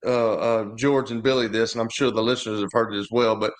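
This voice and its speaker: A man's voice: lowish voice, fairly even intonation, fast talker. A man co-hosting a sports show, chatting casually and telling stories in an informal, repetitive way. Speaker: sports talk co-host, former college player. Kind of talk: casual chat and storytelling.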